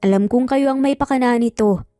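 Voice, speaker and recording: A woman playing a composed, reflective father in a story, reasoning in a measured, earnest way. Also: on the high side, dark tone, dry recording, studio quality, faint music behind, close to an everyday voice. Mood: resigned, serious. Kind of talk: dramatized storytelling.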